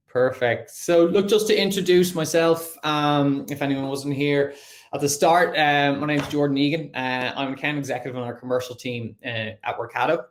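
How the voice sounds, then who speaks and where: An Irish man giving a friendly, upbeat sales presentation over a video call. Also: fairly high pitch. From Ireland. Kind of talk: webinar presentation.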